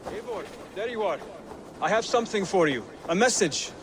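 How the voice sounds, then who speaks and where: An actor playing an earnest, thoughtful scholarly assassin who speaks carefully and formally in a game. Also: male voice, sits high, animated, normal speed, background noise. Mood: earnest, intrigued. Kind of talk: game cutscene acting.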